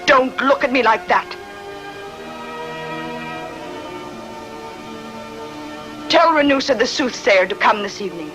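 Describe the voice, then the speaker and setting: A woman's voice: fairly high pitch, lively intonation, fairly quick, dull audio, over music, lightly acted. A woman playing an imperious, ruthless queen in a film dub, with a commanding regal delivery. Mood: anguished longing. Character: imperious, ruthless queen pining for lost lover.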